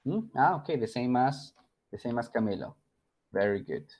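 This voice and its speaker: A man teaching an online English class, taking roll with relaxed, encouraging remarks. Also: mid-range voice, moderate pace, breathy edge. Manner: relaxed, encouraging, switches into Spanish.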